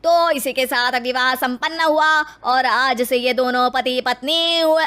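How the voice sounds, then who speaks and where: A woman's voice: sits high, fairly bright tone. A woman voicing a ceremonious, cheerful wedding priest in a cartoon story. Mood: pleased. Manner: deliberate, ceremonious.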